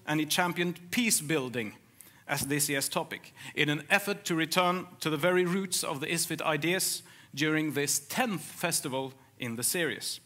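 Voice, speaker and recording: A man's voice: a little high, bright, forceful tone. A man making a formal, declamatory announcement as master of ceremonies at an opening ceremony.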